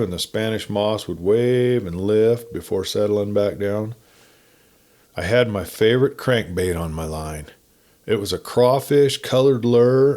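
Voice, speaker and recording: A man's voice: fairly low pitch, a little mellow. A man reading a folksy first-person story in a campfire-tale narration style.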